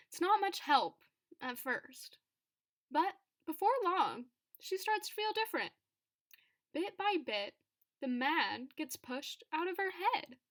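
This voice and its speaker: A woman reading a children's picture book aloud for storytime, phrasing it deliberately and expressively with dramatic emphasis. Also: sits high, a bit bright. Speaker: storybook reader.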